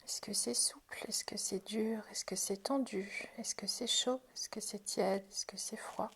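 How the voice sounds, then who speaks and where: Breathy woman guiding a self-care practice. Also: medium pitch, fairly even intonation, measured pace, whispered. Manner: gentle, reassuring, invitational. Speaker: Jin Shin Jyutsu self-help instructor. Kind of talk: guided practice lesson, spoken informally.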